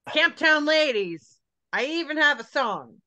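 A woman's voice: high-pitched, expressive, unhurried, bright, forceful tone, heavily acted. A woman playing a brash, bawdy party girl in a screenplay table reading over video call.

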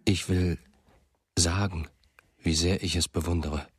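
A brooding radio play narrator. His voice is low and very husky, and he takes his time over each measured phrase. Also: steady tone, exaggerated voice. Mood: brooding, wistful. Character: brooding male narrator, old friend of a missing writer. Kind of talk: German radio drama acting, first-person narration.

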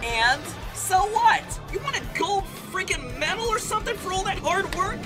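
A woman's voice: very high voice, big pitch swings, normal speed, a crowd in the background. A woman voicing a cocky young male blue hedgehog hero in a comedic game fan dub, brash, sarcastic and taunting. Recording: loud game music behind.

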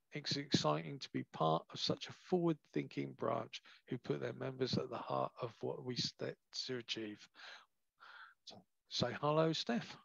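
A man's voice: medium pitch, gravelly, no room sound. A man chairing an online branch meeting over a video call, reading out introductions of the speakers. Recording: dull, dry video call sound.

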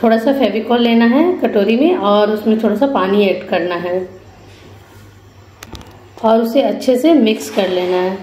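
A woman's voice: a little high, mellow. Lady explaining craft steps informally, echoey room, bit of background noise. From India. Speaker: rangoli artist giving a tutorial. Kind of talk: step-by-step craft tutorial voice-over. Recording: roomy echo with faint background noise.

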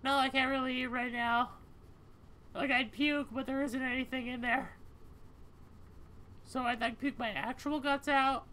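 A woman's voice: high voice, steady tone, unhurried, pauses often, fairly bright tone, slight background noise. A woman voicing a woozy, wry young female cat in a video game, with hesitant slacker phrasing. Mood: tired, reluctant.

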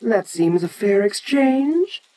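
A woman playing an ancient, domineering giant kaiju in an audio roleplay, haughty, deliberate and curious, talking down to a lesser creature. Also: medium pitch, big pitch swings, warm tone, slight background noise.

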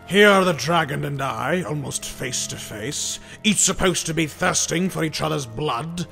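An actor playing a courteous, earnest knightly saint in an audiobook drama, with formal, theatrical, archaic phrasing. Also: male voice, a little high, creaky, a bit bright, exaggerated voice. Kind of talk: amateur audiobook drama acting. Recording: long hall reverb, faint music.

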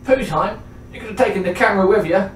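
A man's voice: high-pitched, quick pace, roomy sound, far from the mic, soft background music. A man in his twenties from Somerset with a West Country English accent, bantering dryly and teasing strangers on a video chat. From Somerset, England. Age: 20s. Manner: teasing, dry, laughs often. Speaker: guitarist chatting with strangers.

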